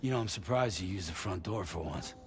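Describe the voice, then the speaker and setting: An actor playing a weary, guarded man in hiding who cares for a baby, speaking tersely in a gruff mutter in a video game. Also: brisk, noisy background. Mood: dry, guarded. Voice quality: gruff, low. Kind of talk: naturalistic video game acting.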